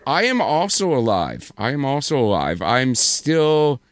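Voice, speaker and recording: A man with a hoarse voice co-hosting a casual podcast, rambling and correcting himself as he goes. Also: mid-range voice, sing-song, unhurried, no echo, clean studio sound. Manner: rambling, self-correcting, apologetic. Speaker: podcast co-host.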